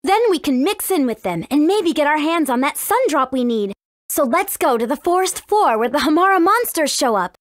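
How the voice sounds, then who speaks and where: A friendly teenage princess heroine in a video game, speaks in a high, very animated voice. She is earnest and careful, and the recording is dry and close. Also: moderate pace, no echo. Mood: serious, explaining. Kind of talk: video game dialogue acting.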